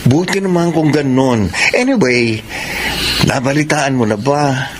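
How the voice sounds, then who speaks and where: A man's voice: medium pitch, lively intonation, measured pace, lightly acted. A man playing a flamboyant, gossipy modeling agent in a Tagalog radio drama, effusive and breezy. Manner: effusive, gossipy, code-switching. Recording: clear background ambient noise.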